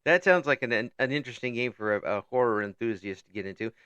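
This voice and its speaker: Male interview host, bright tense tone, chuckly and stumbling, dry close sound. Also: mid-range voice, quick pace, smooth, no echo. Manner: chuckles often, stumbles and repeats words. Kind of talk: casual interview chat.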